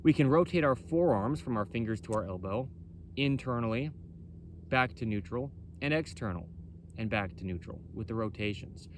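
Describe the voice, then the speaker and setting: A man with a loud, carrying voice explains things off the cuff. He talks boldly and emphatically, circling back to repeat his points. There is some ambient noise behind him. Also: mid-range voice, animated, normal speed. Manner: bold, emphatic, repetitive. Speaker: presenter for a clothing company. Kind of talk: impromptu explainer on body mechanics.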